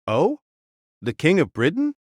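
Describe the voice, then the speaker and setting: A man's voice: a little high, wildly swooping pitch, unhurried, breathy edge, no room sound, exaggerated voice. A man playing a bulky, boastful, jovial conqueror king in an audio drama, grand and amused in manner.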